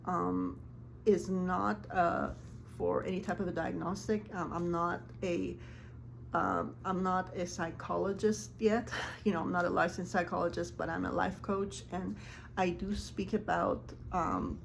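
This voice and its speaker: Earnest, halting woman, native Farsi speaker. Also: on the low side, creaky, a little hiss or hum. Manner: earnest, halting, with many ums and restarts and small laughs. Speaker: life coach. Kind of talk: casual talk to camera.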